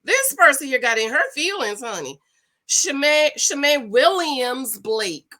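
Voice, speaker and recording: A woman who grew up in Jamaica and the American South, with a Jamaican-American accent, chatting loudly and casually to camera with lots of fillers, recorded at home on her computer without a separate microphone. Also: sits high, measured pace. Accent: Jamaican-American, with a Southern US touch. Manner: loud, chatty, animated, many fillers. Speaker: reality TV recap vlogger. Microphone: computer built-in mic, no microphone.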